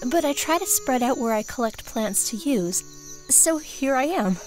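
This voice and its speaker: Plant gatherer, female actor: lightly high-pitched, polite, admiring, sincere, fantasy audio drama. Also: animated, normal speed, darkish tone, faint music behind. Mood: earnest reassurance. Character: gentle, earnest female dye maker and plant gatherer.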